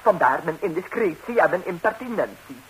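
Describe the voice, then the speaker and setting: A woman's voice: fairly low pitch, lively intonation, fairly quick, close to an everyday voice, low-quality recording. An actress playing a nervous, nosy married lady in a radio comedy, talkative and stammering as she repeats herself. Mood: brazen. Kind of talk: 1960s radio comedy acting in Dutch. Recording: hissy 1960s radio recording.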